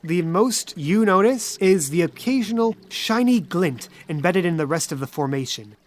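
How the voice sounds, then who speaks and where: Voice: medium pitch, lively intonation, moderate pace. A game master narrating a tabletop role-playing game with descriptive, folksy phrasing.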